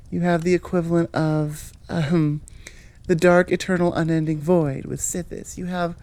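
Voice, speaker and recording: A woman's voice: on the low side, darkish tone. A woman hosting her audio channel, chatting casually to listeners in an enthusiastic, rambling way and often interrupting herself. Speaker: audio roleplay creator and host.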